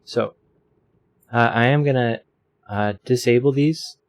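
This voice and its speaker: A man's voice: medium pitch, unhurried. A man casually explaining his audio filter settings from a home desk microphone, rambling with lots of fillers. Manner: rambling, self-deprecating, many fillers. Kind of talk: casual tutorial. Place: home room with a fan running.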